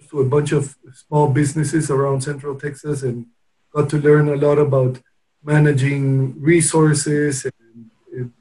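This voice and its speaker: A man from Peru answers interview questions in a relaxed, conversational way. He searches for his words, pausing and restarting often as he recounts his background. His voice sits a little above the usual male range. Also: fairly high pitch, low-quality recording. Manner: hesitant, with frequent restarts and pauses while recounting. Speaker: interview guest, company founder.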